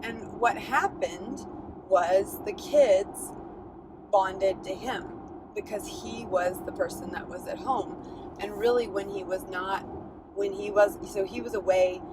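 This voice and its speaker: A middle-aged woman talks through a solo podcast episode in a lower voice, frank and loose, with plenty of fillers and restarts. Her pitch sits in a middle range. Clear, steady background noise runs under the recording. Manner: candid and chatty, many fillers and restarts. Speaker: podcast host. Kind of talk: casual solo podcast monologue.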